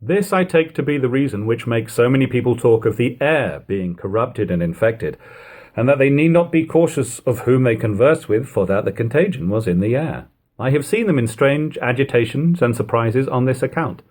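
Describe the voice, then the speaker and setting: A man narrates an old-fashioned first-person account as an audiobook reader. His voice is husky and sits at an ordinary male pitch. He reads deliberately and with emphasis, rising and falling widely across the long literary sentences. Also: lively intonation, a little mellow.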